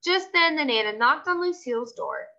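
A woman reading a children's story aloud, expressively and in a childlike, animated manner. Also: high voice, moderate pace, lo-fi sound.

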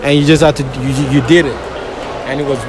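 A man asking passers-by casual questions in a shopping mall, speaking slangy and relaxed. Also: mid-range voice, quick pace, very noisy. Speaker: street interviewer. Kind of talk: casual public interview. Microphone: handheld phone.

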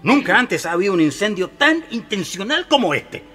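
A man's voice: high voice, big pitch swings, normal speed, pushed and bright, exaggerated voice. An actor playing a naive, earnest male shopkeeper in a radio drama, candid and flustered, repeating himself politely. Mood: earnest, insistent. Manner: flustered, repetitive, pleading politeness. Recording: music behind the voice.

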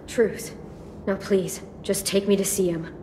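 A woman's voice: mid-range voice, fairly even intonation, a little slow, dark tone, a little hiss or hum, lightly acted. An actress playing a tough, guarded teenage girl survivor in a video game, speaking tersely with an American accent. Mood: guarded, pleading. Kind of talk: video game drama acting. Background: music.

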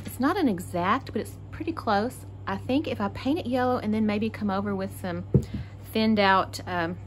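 A woman's voice: mid-range voice, moderate pace, music in the background. A woman from Texas hosting a casual crafting tutorial, chatty and thinking aloud as she works. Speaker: craft channel host.

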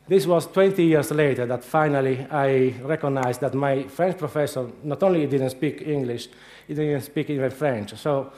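A man's voice: medium pitch, a little mellow. A middle-aged man with an Italian accent giving a humorous conference talk, telling anecdotes with frequent hesitations. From Italy. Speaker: conference speaker. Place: large dark lecture hall.

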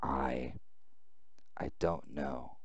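A man voicing a weak, bitter outcast boy in a visual novel game, halting and brooding. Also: extremely low pitch, husky, dry recording, dull audio, voice pushed down, exaggerated voice. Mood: hesitant, brooding.

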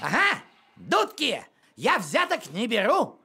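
A man's voice: extremely high pitch, huge pitch swings, slow pace, creaky, pushed and bright, a little hiss or hum, exaggerated voice. An actor playing a fussy, self-important middle-aged village postman in a grumbling, pompous manner for a cartoon. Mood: grumpy, defensive. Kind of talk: animated cartoon acting.

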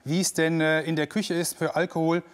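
Tv host guy, kinda high voice, friendly, lots of uh's reading out viewer questions. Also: flat delivery, brisk, clean tone. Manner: friendly, hesitant fillers, reads viewer questions. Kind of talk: studio talk show moderation. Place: TV studio set.

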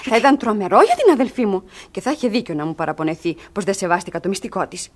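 An actress playing a pleading, scheming young ward in a classic comedy for radio theatre, speaking dramatically. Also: female voice, medium pitch, animated, natural voice. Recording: husky-sounding archive radio theatre recording.

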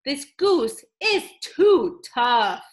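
A woman's voice: high voice, very animated, extremely slow. A woman reading a picture book aloud in a theatrical storytelling style, addressing a child listener with dramatic emphasis.

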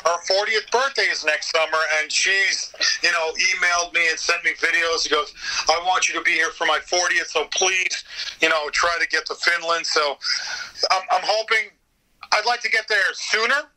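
A man with a high, strikingly bright and forceful voice talks casually as an interview guest. He is enthusiastic and swears freely as he goes. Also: normal speed, rough recording. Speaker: podcast guest, voice actor.

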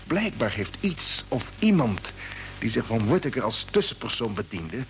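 An actor playing a determined, earnest spaceship commander in an old Dutch radio drama, speaking emphatically. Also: male voice, medium pitch, big pitch swings, moderate pace, soft background music. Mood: resolute. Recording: noisy vintage radio play recording.

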